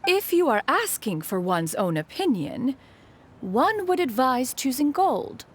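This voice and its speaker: A woman voicing a wise, dignified older mentor in a fantasy game, speaking formally with a gently imperious, fond manner. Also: high voice, very animated, unhurried, a little hiss or hum. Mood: fond, approving. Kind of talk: video game voice acting.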